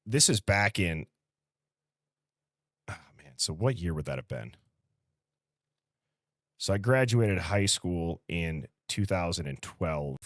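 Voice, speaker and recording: Livestream host: American male, early thirties, low voice, close dry sound, casual and conversational. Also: expressive, slow pace, pauses often, no echo. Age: early 30s. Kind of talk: casual live-stream chat, reading out viewer comments.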